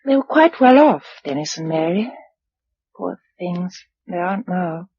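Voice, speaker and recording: Give a tender, capable young British sweetheart in a radio play. Her voice is breathy and animated, full of emotion with a wry edge. The old recording sounds dull. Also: fairly low pitch, measured pace, heavily acted. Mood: tender, subdued. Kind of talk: British radio drama acting.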